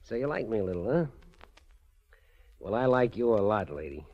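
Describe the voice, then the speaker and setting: Slangy, animated 1940s radio mobster. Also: male voice, lowish voice, normal speed. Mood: smitten, eager. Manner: streetwise, blunt tough-guy slang. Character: tough, slangy, hot-headed male racketeer, easily smitten. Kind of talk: 1940s radio drama acting. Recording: muffled 1940s radio broadcast recording.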